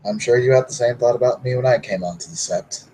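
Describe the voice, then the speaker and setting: A man's voice: medium pitch, fast talker, warm tone, a little hiss or hum, poor audio. A man playing a tabletop roleplaying game, answering in character with laid-back, brief replies.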